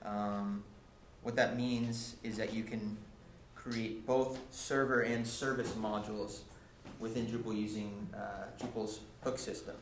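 A man's voice: lowish voice, flat delivery, a little slow, hardly any pauses. A young man giving a casual technical talk at a meetup, explaining with many ums and restarts, recorded by a camera across a lecture room. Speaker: presenter. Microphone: far camera. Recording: echoey room, faint hiss, from camera.

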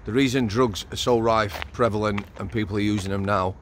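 A man's voice: on the low side, steady tone, fairly quick, fairly bright tone. Middle-aged man, blunt interviewer, handheld mic, outdoors at night, faint traffic behind. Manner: blunt, prompting questions. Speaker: host interviewing a former prisoner. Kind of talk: walk-and-talk interview. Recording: faint street noise outdoors.

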